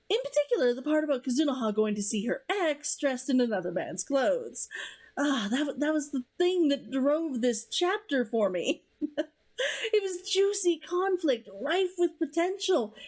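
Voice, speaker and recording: A woman's voice: sits high, a little mellow. A woman author hosting a read-along, chatting casually to viewers about writing in a bubbly, giggly way.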